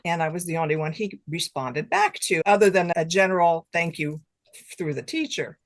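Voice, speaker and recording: A woman's voice: on the low side, moderate pace. An older woman chatting casually and informally as a guest author in an interview, with a giggly streak. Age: late 50s to 60s.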